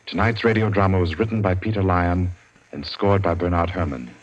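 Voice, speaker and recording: A deep-voiced male radio announcer in the 1940s manner. He reads in measured, formal phrases, heard through a muffled vintage broadcast recording.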